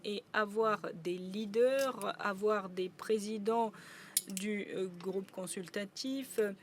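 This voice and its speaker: A woman doing simultaneous interpretation at a conference, a steady relay full of brief hesitations. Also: medium pitch, slow pace, a little hiss or hum.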